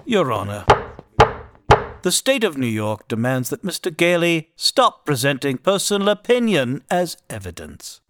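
Huffy insistent prosecutor, high voice swinging around, courtroom radio drama. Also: male voice. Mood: exasperated. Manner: formal, protesting, emphatic. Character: indignant, insistent state prosecutor. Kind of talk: courtroom radio play acting.

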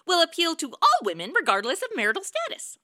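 Actress as a snarky high-pitched department store woman. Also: huge pitch swings, fast, sharp tone, exaggerated voice. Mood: wry, brash. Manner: snarky, blunt, irreverent. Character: sarcastic, wisecracking modern career woman at a department store. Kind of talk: comedic radio play acting.

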